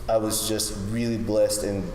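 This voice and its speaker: A young man from the Philippines answers interview questions earnestly, measured and full of small pauses. His voice sits fairly low. The recording sounds roomy, with a long reverb tail. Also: flat delivery, a little mellow, a little hiss or hum. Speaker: guest. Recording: echoey room with long reverb.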